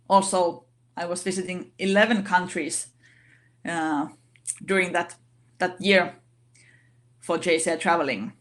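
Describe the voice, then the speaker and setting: Soft-pitched woman, halting non-native English. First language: not English. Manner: halting, many fillers and restarts. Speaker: organization vice president giving a talk. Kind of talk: online session talk.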